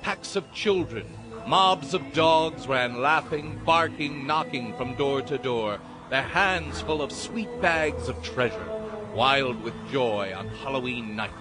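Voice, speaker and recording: A man's voice: mid-range voice, slow pace. A man narrating a dramatized audiobook story in a dramatic, scripted storytelling style. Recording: dramatic music under the voice.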